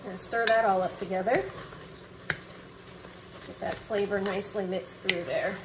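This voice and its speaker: A young woman casually narrating a home cooking video in a relaxed, chatty way, with fillers. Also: mid-range voice, unhurried. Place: home kitchen. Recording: distant mic, noticeable room noise.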